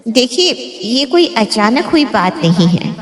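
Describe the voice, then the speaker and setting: A woman's voice: on the high side, very animated, unhurried. A woman playing a stern, authoritative doctor giving advice in a family story drama. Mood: stern, advising. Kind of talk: scripted story drama dialogue. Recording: echoey room with long reverb.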